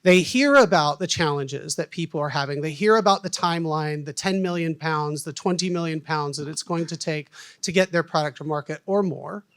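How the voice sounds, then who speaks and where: A man in his forties with a Southern American accent, moderating a conference panel in a folksy, self-deprecating way with plenty of fillers. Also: fairly high pitch. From United States.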